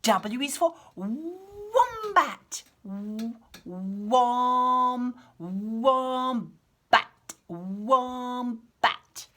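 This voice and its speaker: A woman hosts a read-aloud lesson for very young children. Her delivery is sing-song and highly varied in pitch, with exaggerated enunciation. She is encouraging and slips into chatty asides as she explains things. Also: animated, extremely slow, sharp tone. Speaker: children's storyteller and host.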